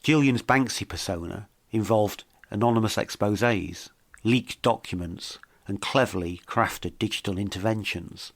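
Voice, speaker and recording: Low-voiced man narrating a mystery. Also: no echo. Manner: measured, dramatic storytelling. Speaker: documentary podcast narrator.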